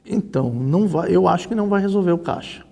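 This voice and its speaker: A man's voice: sits high, fast, gravelly, mellow. A man in his forties with a Brazilian accent, explaining things conversationally as an interview guest and often checking for agreement. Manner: explanatory, often seeks agreement with tag questions. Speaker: interview guest, social security lawyer. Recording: reverberant hall-like room.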